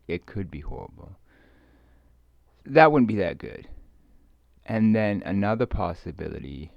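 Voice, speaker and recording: A man rambling casually in a hesitant, halting, musing way, often trailing off as he thinks aloud. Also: deep voice, vocal fry, darkish tone, no echo. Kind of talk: rambling casual monologue, ASMR roleplay. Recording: dull lo-fi close dry recording.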